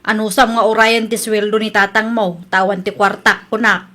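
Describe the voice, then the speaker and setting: A woman's voice: medium pitch, little pitch movement, moderate pace, sharp tone, lightly acted. A woman acting a bossy, exasperated wife and mother who runs the household money in a radio drama.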